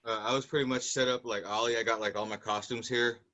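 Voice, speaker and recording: A man's voice: medium pitch, steady tone, fast talker, sharp tone. A man, an actor, giving a casual, loosely strung answer on a panel over a dull video-call line.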